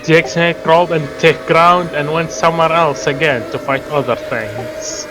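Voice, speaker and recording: A man reading a story aloud in a halting, deliberate way, with casual asides and an amused manner. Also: on the high side, steady tone, music in the background, low-quality recording. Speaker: reader of fan fiction.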